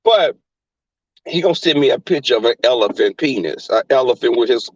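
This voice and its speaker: A man with a Southern accent hosting a live stream in casual chat, crass and flamboyant, often leaping into high squeals. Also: animated, a little fast, no echo. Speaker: livestream host. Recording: dull lo-fi stream audio.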